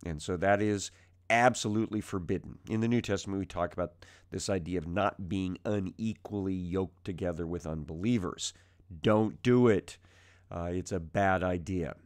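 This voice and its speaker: Slow raspy-voiced male preacher. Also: sits low, a little mellow. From United States. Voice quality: raspy, strained voice. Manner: unhurried, conversational teaching with many "uh" fillers. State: ill with mild symptoms. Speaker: preaching minister. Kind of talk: verse-by-verse Bible teaching. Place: home basement.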